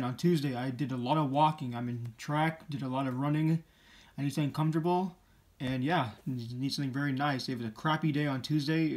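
A man's voice: on the high side, darkish tone. A teenage boy hosting a sneaker channel, talking casually and rambling a bit as he shows his shoes, recorded on a camera mic in a room indoors. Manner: chatty, upbeat, rambling. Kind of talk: casual vlog show-and-tell.

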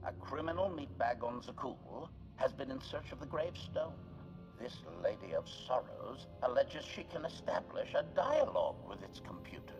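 A man's voice: mid-range voice, sing-song, moderate pace, lo-fi sound, music in the background. A man voicing a blunt, menacing assassin droid in a video game, with clipped announcements and a robotic filter. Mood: coldly reporting. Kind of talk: video game character acting.

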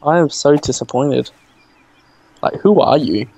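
A man's voice: on the high side, expressive, a little slow, creaky, mellow, slightly rough, lo-fi sound. A young man with an Australian accent, chatting casually and joking while playing a co-op game. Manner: joking, laughs often. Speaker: gamer. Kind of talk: casual co-op gaming chat.

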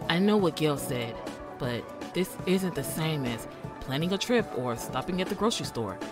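A woman acting a steady, practical friend turned thief in an audio drama. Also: deep voice, moderate pace, over music. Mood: caring, resigned.

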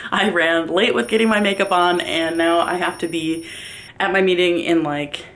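A young woman talking casually to the camera in a day-in-the-life vlog, chatty and quick, often laughing and drifting into little rants, filmed at arm's length at home and in her car. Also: on the low side, steady tone, fairly bright tone, rough recording. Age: young adult. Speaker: vlogger and business owner. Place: kitchen and bathroom at home, then inside a car. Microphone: handheld camera at arm's length.

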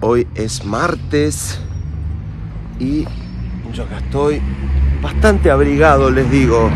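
Man, 40s, Argentine accent, casual vlog, mid-range voice, phone at arm's length, clear street noise. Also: mid-range voice. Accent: Argentine Spanish. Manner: chatty, confiding, talks straight to the viewers. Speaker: vlogger. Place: outdoors on city streets and in a park, then at a café. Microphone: phone camera held at arm's length. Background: street and traffic noise.

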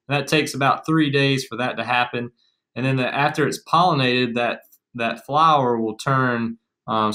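Young American male, casual agricultural educator, bright forward voice, mid pitch. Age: young adult. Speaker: agriculture extension agent, co-presenter. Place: small room at home, indoors.

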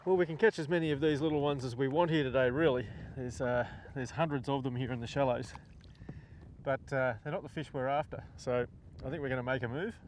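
A man presenting a fishing show in shallow water on tidal flats, explaining his gear in a relaxed way. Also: medium pitch, brisk. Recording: distant outdoor sound with clear wind and water noise.

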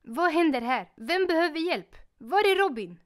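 A woman's voice: extremely high pitch, animated, reverberant, distant mic. A woman voicing a fussy, scolding guardian animal in a cartoon fan dub. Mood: alarmed.

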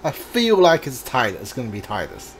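Guy streaming a game, thinking out loud, voice goes up and down, bit of background noise. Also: medium pitch, huge pitch swings, brisk. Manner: musing aloud, questioning. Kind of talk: casual playthrough commentary.

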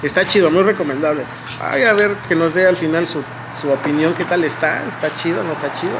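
A man's voice: fairly high pitch, fairly quick, background noise. A man in his thirties with a Mexican accent, hosting a casual vlog chat in a café, joking and swearing often. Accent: Mexican Spanish. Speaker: vlogger host. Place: indoor café at night.

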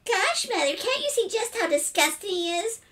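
A woman's voice: extremely high pitch, sing-song, moderate pace, voice pushed up, full character voice. A woman voicing a cheerful, eager ten-year-old show-off boy in a children's storybook. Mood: mocking. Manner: bright, eager, pestering. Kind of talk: children's storybook read-aloud.

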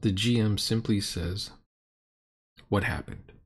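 A middle-aged man comments casually on a tabletop game. His voice is slightly low and rough-edged with huskiness, and his delivery is sardonic and fault-finding. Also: slow pace. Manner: sardonic, critical. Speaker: commentator reviewing a roleplaying game session.

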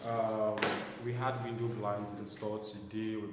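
A young man with a Nigerian accent chatting loosely in a casual home vlog, excitable and trailing off with ums. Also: lowish voice, measured pace, heavy background noise. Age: young adult. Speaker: vlogger. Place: small bare room at home, indoors. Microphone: lapel mic. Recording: echoey room with music underneath.

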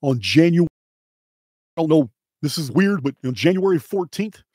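Middle-aged American man, slightly high voice, slow dramatic commentary, emphatic phrasing, dry close-mic sound. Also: expressive, darkish tone, breathy edge, no room sound. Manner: emphatic, deliberate, pausing between short phrases. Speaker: video host and presenter. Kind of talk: solo presentation to camera, dramatic commentary. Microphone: close microphone on boom arm.

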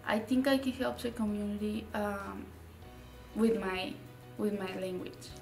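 A young woman from Mexico, a native Spanish speaker with a Mexican Spanish accent, answering interview questions in a halting way, repeating words and using many fillers. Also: medium pitch, vocal fry, roomy sound, recorded from a distance, soft background music, low-quality recording. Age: young adult. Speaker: graduating student. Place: indoor studio with dark curtain.

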